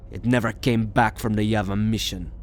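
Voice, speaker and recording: Man voicing a bitter, gruff alien hoarder. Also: on the low side, steady tone, measured pace, a little hiss or hum. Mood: grudging. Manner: gruff, indignant, haggling. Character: shady, bitter green male alien collector, grieving widower. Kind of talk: ASMR roleplay audio drama.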